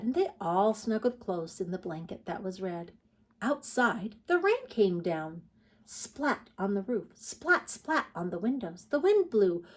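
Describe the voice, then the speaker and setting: A woman reading picture books aloud to preschoolers as a storytime host, expressive and putting questions to young listeners. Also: medium pitch. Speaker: children's storytime host and reader. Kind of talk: read-aloud picture book narration for preschoolers.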